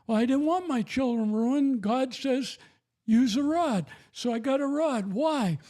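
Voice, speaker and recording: A man's voice: very high voice, unhurried, warm tone. An older man giving an emphatic, repetitive, preachy testimony about faith and raising children.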